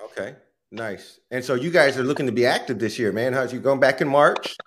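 A man's voice: medium pitch, a little fast, noisy background. A man from Chicago co-hosting a podcast, asking questions with frequent stumbles and restarts. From Chicago, USA. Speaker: podcast co-host. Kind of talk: casual interview questions.

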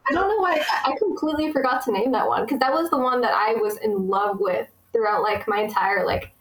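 A young American woman chats with enthusiasm, her voice sitting a little high. Her speech is full of fillers and quick asides. The sound rings with room echo. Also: fairly bright tone. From United States. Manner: chatty, many fillers, enthusiastic. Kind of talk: casual conversation.

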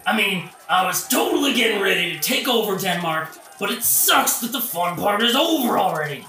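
A man's voice: high voice, big pitch swings, normal speed, bright, forceful tone, recorded from a distance, slight background noise, heavily acted. A man playing a whiny, deflated invading prince, petulant and slangy, in a modern comedic audio play. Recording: echoey room, off the mic.

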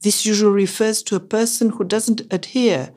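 A woman's voice: medium pitch, steady tone, normal speed, a little mellow. A non-binary co-host with a woman's voice and a Greek accent, reading a scripted educational dialogue in an explanatory, emphatic way. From Greece. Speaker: podcast co-host.

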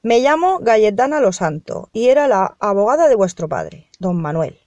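A woman from Spain playing a formal, businesslike lawyer in a radio soap, speaking in a measured way. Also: medium pitch, very animated, darkish tone, dry recording, muffled recording, lightly acted. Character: formal, businesslike female lawyer reading a will. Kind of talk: amateur radio soap acting.

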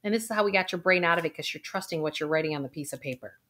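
A woman coaching viewers through a guided intuition exercise, with soft sighs and a calm, unhurried delivery. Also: low voice. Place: indoors, recording a video.

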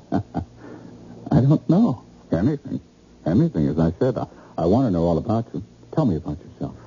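An obsessed American married man in a vintage radio play, nervous and repetitive. His dark, low-leaning voice sweeps through pitches on a muffled old recording. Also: big pitch swings, husky, full character voice. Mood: dazed, nervous. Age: middle-aged. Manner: halting, repetitive, intense. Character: obsessed, nervous, helplessly infatuated middle-aged married man. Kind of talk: old-time radio drama acting.

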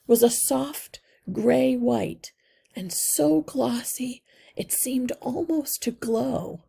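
A woman reading a children's novel aloud as an expressive storyteller. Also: on the high side, vocal fry, husky. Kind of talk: expressive scripted narration for children.

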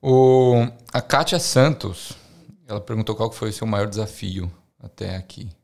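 A woman's voice: very deep voice, moderate pace, gravelly, a little mellow. A woman hosting a podcast in a casual interview, encouraging her guest and reading out listener questions. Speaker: podcast host.

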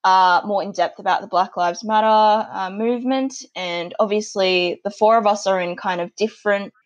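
A young Australian woman hosting a podcast, upbeat and quick to giggle. Her pitch sits in the middle. The sound is a little dull and lo-fi. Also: fairly even intonation. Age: young adult. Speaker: podcast host. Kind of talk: casual podcast chat. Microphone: remote call audio.

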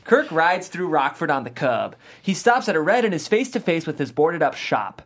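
A lively narrator reads scene directions from a comedy script. The voice has a medium pitch and moves around a lot in intonation. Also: moderate pace.

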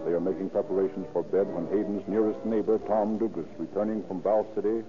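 A man's voice: sits low, fairly even intonation, fast talker. A man narrating a scripted crime drama in 1940s radio style. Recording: tinny old radio broadcast. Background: prominent music.

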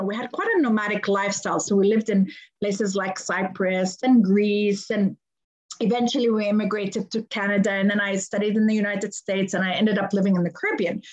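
Earnest Lebanese woman, muffled audio. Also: medium pitch. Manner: earnest, explanatory, repeats phrases for emphasis. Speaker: guest, conflict resolution coach. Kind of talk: podcast interview.

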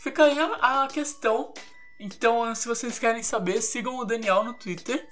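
A woman's voice: fairly high pitch, moderate pace, a bit bright, faint music behind. A woman with a Brazilian accent hosting a podcast in a casual, chatty, informal and playful way. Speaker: podcast host.

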